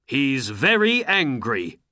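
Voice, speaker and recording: A narrator voicing a stern male royal guard, loud, firm and official, in a storybook. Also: medium pitch, big pitch swings, slow pace, dry recording, studio quality, pitched above the performer's own voice, heavily acted.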